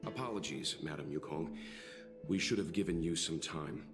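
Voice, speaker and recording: A man voicing a courteous, composed gentleman in a video game. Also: very deep voice, normal speed, music in the background. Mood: apologetic, calm.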